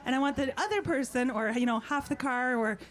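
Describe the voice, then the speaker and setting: A woman's voice: high voice, fairly quick. A woman who performs as a throat singer, chatting playfully and instructing an audience in an informal way from an outdoor festival stage. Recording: live outdoor sound, clear background noise.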